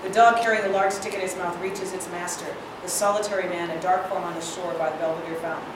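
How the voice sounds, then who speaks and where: Female stage-directions reader, slightly low voice, scripted narration, heavy room echo, noticeable noise. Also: fairly even intonation, fast talker. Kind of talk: scripted narration, screenplay reading. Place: large room at a live staged reading. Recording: echoey hall, distant mic, noisy.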